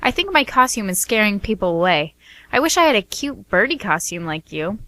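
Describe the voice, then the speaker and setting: A woman's voice: medium pitch, sing-song, a little fast, a bit bright, no room sound. A woman playing a coy, quirky, playful young woman in an amateur radio comedy. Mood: glum.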